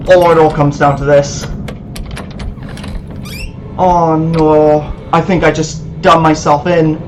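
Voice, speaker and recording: A man giving live commentary on a horror game, muttering nervously to himself and breaking into excited bursts. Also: fairly high pitch, takes it slowly, a little hiss or hum. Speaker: let's play host.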